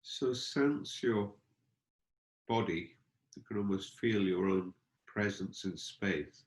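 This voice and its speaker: A man leading a guided meditation as a teacher, speaking gently and unhurriedly, feeling out his phrases with pauses. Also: fairly low pitch. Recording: roomy, echoing space.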